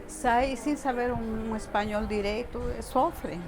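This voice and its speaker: Older Bolivian woman, halting speech. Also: on the high side. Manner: halting, repeats words, frequent tag questions. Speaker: interviewee. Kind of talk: casual interview talk.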